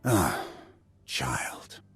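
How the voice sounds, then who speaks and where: An actor playing a weary old Jedi veteran, solemn and weighty in a dramatic video game cutscene. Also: male voice, extremely slow, fairly bright tone. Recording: background music under the voice.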